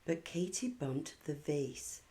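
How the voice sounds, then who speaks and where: A woman's voice: deep voice, takes it slowly, warm tone. A woman hosting and narrating a children's storybook read-aloud.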